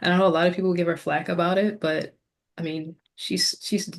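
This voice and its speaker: Woman, lowish pitch, heavy vocal fry, casual podcast co-host. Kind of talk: casual chat.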